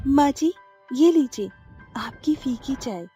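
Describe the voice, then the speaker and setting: A woman voicing a young, sharp-tongued, dramatic daughter-in-law in a cartoon drama. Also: high-pitched, expressive, takes it slowly, faint music behind. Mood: polite, pointed. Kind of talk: Hindi cartoon drama acting.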